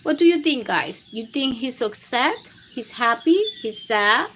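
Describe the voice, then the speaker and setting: A woman reads a picture book aloud to young children as a storytime host. Her voice is high and swings widely in pitch, and she takes her time, using simple phrases and questions to the listeners. Birdsong and background noise sit behind her. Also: lively intonation, a little slow, fairly bright tone.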